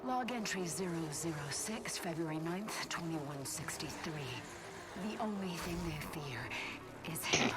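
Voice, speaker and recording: An actress playing a grave woman scientist in a video game, recording a dramatic log in a solemn, deliberate way. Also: low-pitched, lively intonation. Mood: grave, awed. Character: grave woman scientist recounting demon invasion of humanity. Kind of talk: dramatic scripted log narration. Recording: game audio under loud music.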